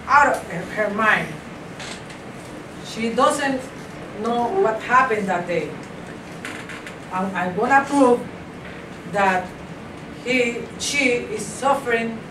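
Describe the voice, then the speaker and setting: A woman speaking as a defense attorney in a mock trial, making a formal statement with halting, stumbling phrases. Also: mid-range voice, distant mic. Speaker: law student acting as defense attorney. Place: echoey indoor room, distant microphone, noise behind. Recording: echoey room from afar, noisy.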